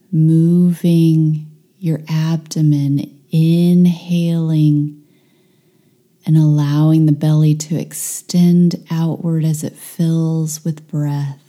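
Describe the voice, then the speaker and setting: A woman leading a guided meditation, giving calm, hushed, soothing instructions with long pauses between phrases. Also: low voice.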